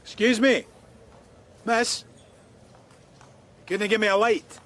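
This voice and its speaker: A man's voice: sits high, steady tone, takes it slowly, fairly bright tone. A man playing a blunt, dry Scottish police detective in a radio drama, terse and probing. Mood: gruff.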